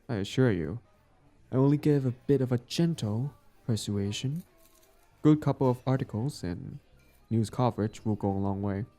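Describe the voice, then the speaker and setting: A man plays a smug yet smitten male villain out on a date. His voice is low and very mellow, with soft music behind it. He talks casually and intimately, like a smooth talker in a romantic audio roleplay. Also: lightly acted. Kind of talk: intimate scripted boyfriend-style audio roleplay.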